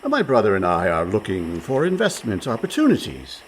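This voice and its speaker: An actor playing a breezy, overconfident schemer in a comic radio play, glib and mock-genteel. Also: male voice, lowish voice, wildly swooping pitch, normal speed, a little hiss or hum. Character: smooth-talking, scheming con man, male, breezy and overconfident.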